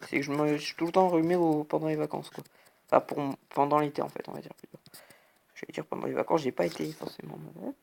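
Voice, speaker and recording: A man's voice: on the high side, fairly even intonation, quick pace, vocal fry, a little mellow, slight background noise. Brief: young male gamer, a bit congested, casual rambling play-by-play with "hop, bam" sound words. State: has a cold, sniffly. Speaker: gaming YouTuber. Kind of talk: casual gameplay commentary.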